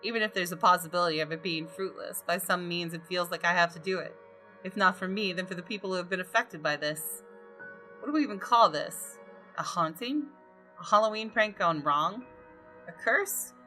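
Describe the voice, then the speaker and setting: A woman reading visual novel narration aloud with deliberate, dramatic storytelling. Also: fairly low pitch, sharp tone. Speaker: gaming video host. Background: music.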